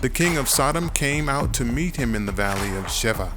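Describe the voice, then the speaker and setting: A man narrating dramatized scripture in a measured, solemn, declarative way. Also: medium pitch, expressive. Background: dramatic music.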